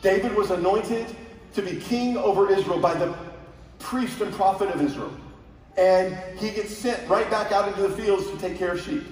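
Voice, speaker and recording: A man's voice: high voice, normal speed, darkish tone. A man preaching a conversational sermon with rhetorical questions, speaking directly to listeners over a PA in a large auditorium. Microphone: heard over PA from audience seats. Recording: very echoey hall from far off.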